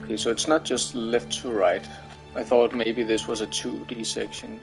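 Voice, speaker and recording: A man's voice: mid-range voice, little pitch movement, moderate pace, gravelly, music in the background. A man from Denmark with a Danish accent, commenting on a game he is playing, hesitant and full of fillers. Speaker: streamer. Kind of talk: let's play commentary.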